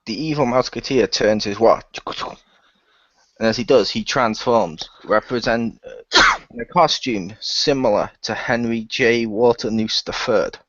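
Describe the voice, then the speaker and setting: A man's voice: medium pitch, unhurried, fairly bright tone, dry recording. A man narrating action in an improvised, amateur radio play and slipping into character lines. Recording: dull lo-fi voice recording.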